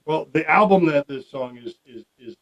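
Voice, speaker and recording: A man's voice: fairly high pitch, animated, moderate pace, poor audio. A man chatting casually and enthusiastically as a radio interviewer in a studio.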